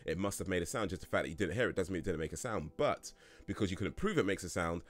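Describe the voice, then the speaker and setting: A man's voice: deep voice, expressive, fast. A man in his late thirties hosting a casual livestream Q&A, rambling through chat questions with lots of fillers and restarts and frequent chuckles. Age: 30s.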